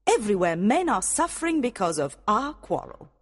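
A man reading a storybook, voicing a proud and hurt fairy queen in a high, dramatic voice. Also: very animated, moderate pace, husky, big-room sound, full character voice. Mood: haughty.

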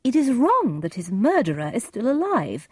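A firm, commanding noble mother of middle age acted by a woman narrator in a storybook. She speaks insistently in a raised, animated pitch, recorded dry. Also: normal speed, slightly rough, dry recording, full character voice. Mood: stern. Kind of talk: dramatized storybook reading.

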